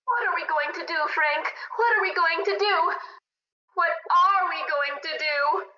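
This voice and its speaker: A woman's voice: extremely high pitch, measured pace, bright, forceful tone, exaggerated voice. A woman playing a desperate, indignant parent in a radio play, pleading and arguing emphatically. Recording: dull lo-fi audio.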